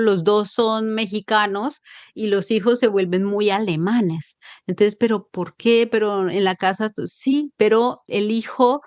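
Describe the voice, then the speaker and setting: A Colombian woman, a psychologist, talking in a lively and expressive way in an interview, explaining with examples. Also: medium pitch, clean tone, dry recording. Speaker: psychologist guest. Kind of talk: conversational interview.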